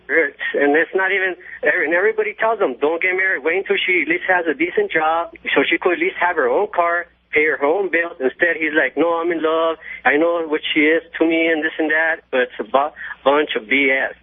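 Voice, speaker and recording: A man's voice: fairly high pitch, brisk, no room sound. A man phoning in to a radio show, chatting casually as a caller. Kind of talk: casual phone-in chat. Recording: tinny, muffled phone line.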